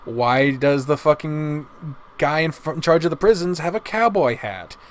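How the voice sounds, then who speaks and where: A man's voice: fairly high pitch, animated, moderate pace. A man giving casual, opinionated commentary as a video host, rambling and swearing heavily as he goes. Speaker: video host reviewing visual novels. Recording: noticeable background noise.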